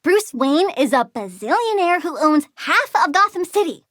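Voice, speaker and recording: A childlike plant-girl villain for a video game. The voice is high and very animated, eager and blunt, flipping between chirpy glee and deadpan scorn for humans. It is recorded dry and close. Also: normal speed, dry recording. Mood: matter-of-fact, impish. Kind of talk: video game character voice lines.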